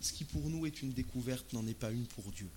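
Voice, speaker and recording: A man's voice: mid-range voice, a little fast. Conversational male preacher, some background noise. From Alsace, France. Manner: expressive, uses rhetorical questions and acted-out asides. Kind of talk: sermon in conversational, colloquial language.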